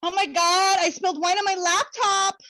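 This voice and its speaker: A woman's voice: very high voice, level tone, normal speed, pushed and bright, no room sound. A woman playing a gushing, needy and blunt young woman in a comedic play reading, with dramatic, exclamatory slang. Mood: gushing excited. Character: Brooklyn, gushing, needy, blunt young married best friend.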